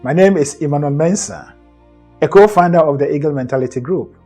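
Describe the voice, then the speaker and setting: A man's voice: on the high side, brisk, mellow, soft background music. A middle-aged man hosting a motivational talk, earnest and preacherly, often repeating and rephrasing his points. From Ghana. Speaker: host and co-founder of a mindset group. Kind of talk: motivational lecture to camera.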